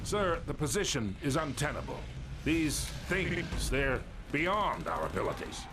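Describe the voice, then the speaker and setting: A colonel who pleads with his superior in an urgent, rising and falling voice. There is steady noise behind him. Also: fairly high pitch, measured pace. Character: strained male colonel, urgent and doubtful. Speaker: field commander. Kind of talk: video game cutscene acting.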